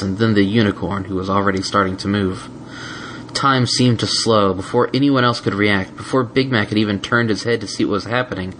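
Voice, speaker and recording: A man reading a fan-written story aloud in a steady storytelling manner. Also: lowish voice, slight background noise, poor audio. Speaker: reader. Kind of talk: fan fiction audiobook reading.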